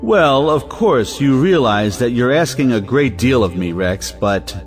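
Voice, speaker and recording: A solemn patriarch from an old stage comedy, acted by a man in an audio play. He speaks in a middle-pitched male voice, measured and pompous, with self-righteous weight. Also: lively intonation, soft background music, close to an everyday voice.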